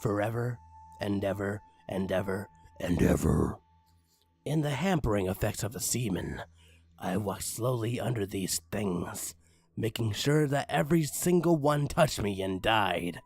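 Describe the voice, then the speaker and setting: Guy reading a trollpasta, raspy and very expressive, mock dramatic and crude, bit of music behind. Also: lowish voice, a little slow, dry recording. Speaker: narrator, online story reader. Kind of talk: comedic dramatic creepypasta narration.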